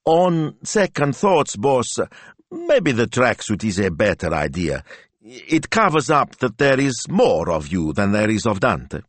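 A man doing a timid, slow-witted sidekick. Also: mid-range voice, big pitch swings, dry recording, voice pushed up. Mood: hesitant. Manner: hesitant, slow, deferential.

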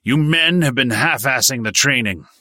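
A man voicing a gruff, scornful male army drill sergeant who barks orders. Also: sits low, lively intonation, moderate pace, pushed and bright, no echo, clean studio sound, exaggerated voice. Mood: scolding. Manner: barking commands.

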